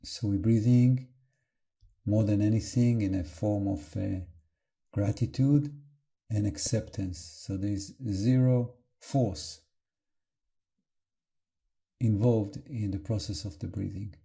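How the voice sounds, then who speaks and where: A man leading an unscripted guided meditation as a teacher, calm and gentle, with halting phrases and long pauses. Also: on the low side, vocal fry.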